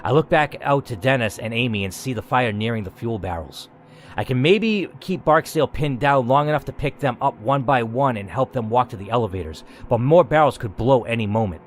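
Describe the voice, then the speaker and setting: A man's voice: medium pitch, lively intonation, fairly quick, a little hiss or hum. A man narrating a story game dramatically and reacting to its choices with emphatic commentary, as a gaming host.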